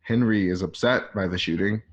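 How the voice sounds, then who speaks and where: A man's voice: lowish voice, moderate pace. Male radio drama narrator, slightly dull sound. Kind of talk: scripted narration of radio drama stage directions.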